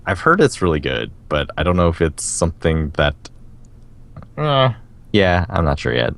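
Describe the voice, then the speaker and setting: A man with a very low voice co-hosts a loose gaming chat. His pitch moves around a lot as he rambles enthusiastically. He often laughs his way through what he says. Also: huge pitch swings, normal speed, vocal fry, a bit bright. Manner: laughs often while talking. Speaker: podcast co-host. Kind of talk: casual video game chat.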